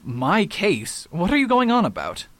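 A man's voice: high-pitched, wildly swooping pitch, measured pace, a bit bright, dry recording, heavily acted. A man playing a proud, pompous detective and academic in a radio drama, with precise, wordy delivery. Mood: puzzled, indignant.

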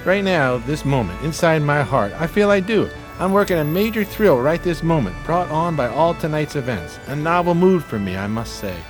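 A man's voice: on the high side, sing-song, moderate pace, hardly any pauses, over music. An actor playing an eloquent, melancholy homeless man of 1920s New York in florid radio-theater style. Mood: stirred, resolute. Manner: florid, grandiloquent, theatrical phrasing.

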